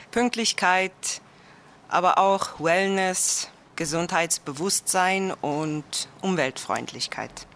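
Bright-voiced woman teacher. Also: lowish voice, a little hiss or hum. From South Africa. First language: Afrikaans. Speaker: German teacher. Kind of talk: prepared personal self-introduction.

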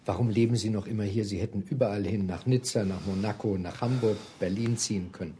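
A man interviewing in a formal, courteous manner, putting long, prepared questions in measured sentences. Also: fairly low pitch, fairly even intonation, dark tone, slight background noise.

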